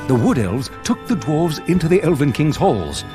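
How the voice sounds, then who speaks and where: A storybook narrator for a fantasy quest game. His pitch is a little high and sweeps widely as he tells the tale. Music plays clearly behind him. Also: very animated, normal speed. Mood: storytelling.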